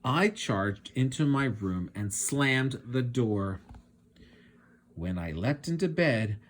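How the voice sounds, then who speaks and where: Slow, animated male storybook narrator. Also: mid-range voice. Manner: dramatic, expressive, suspenseful. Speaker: read-aloud teacher narrating. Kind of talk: children's storybook read-aloud.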